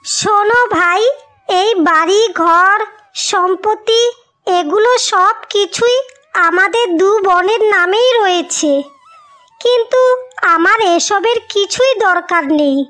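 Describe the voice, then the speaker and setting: A woman's voice: very high voice, takes it slowly, sharp tone, heavily acted. A woman voicing a wronged, self-sacrificing elder sister in a melodramatic cartoon fairy tale, plaintive and lamenting. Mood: tearful, resigned. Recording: music behind the voice.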